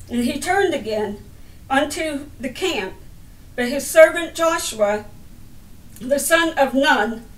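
A middle-aged woman reading the Bible aloud as a sermon, in deliberate broken phrases with stumbles over the words. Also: high-pitched. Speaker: lay preacher reading scripture. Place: indoor room by a window. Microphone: camera a little way off. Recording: roomy, distant camera microphone.

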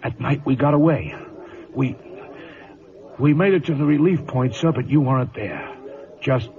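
An actor playing a badly wounded, dutiful cavalry corporal who struggles haltingly to report, in old radio drama style. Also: male voice, mid-range voice, lightly acted. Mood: pained, urgent. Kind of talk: 1950s radio acting. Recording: distant tinny old radio, voices behind.

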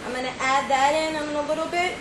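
High-voiced woman chatting thru a recipe in her kitchen, phone mic, boomy room, some hiss. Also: fairly even intonation, quick pace. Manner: chatty, step-by-step, says "right?" often. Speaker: home cook host. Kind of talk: casual cooking demo. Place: home kitchen, indoors. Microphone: phone camera at arm's length. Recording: echoey kitchen with noise.

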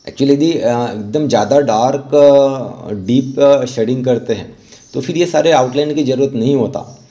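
A man narrating a step-by-step art tutorial as an instructor, often pausing mid-sentence. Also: mid-range voice, dark tone.

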